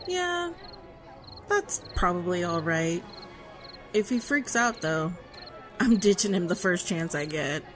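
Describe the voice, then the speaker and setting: Woman doing a snarky young adventurer girl, slow drawl, voice jumps all over, music going behind. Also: fairly low pitch, animated, fairly bright tone. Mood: sarcastic. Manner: sarcastic, blunt. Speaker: companion character in an audio drama. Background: music score.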